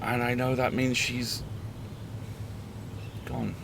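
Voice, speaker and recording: A man playing a weary but defiant radio host in an audio horror drama, speaking gravely and deliberately. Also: medium pitch, little pitch movement, noisy background. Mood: weary, reflective.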